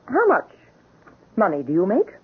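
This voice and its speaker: An actress playing a cruel, haughty, seductive rich wife in a 1970s radio drama, speaking in a formal, imperious, deliberate way. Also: female voice, mid-range voice, huge pitch swings, slightly rough, full character voice. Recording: muffled, narrow-band old radio broadcast.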